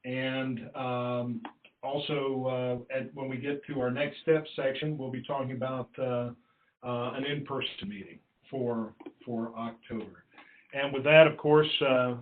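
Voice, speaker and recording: Guy running a formal committee call, halting n polite, muffled echoey sound. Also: mid-range voice, slight background noise. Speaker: committee staff official running the meeting. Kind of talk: formal committee meeting remarks and roll call. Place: conference call, roomy sound.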